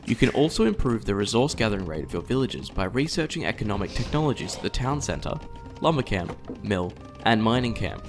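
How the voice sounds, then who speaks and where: A man delivers scripted instructional narration for a game. His voice sits fairly low but moves with animated intonation as he guides the listener step by step. Soft music runs behind him. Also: brisk.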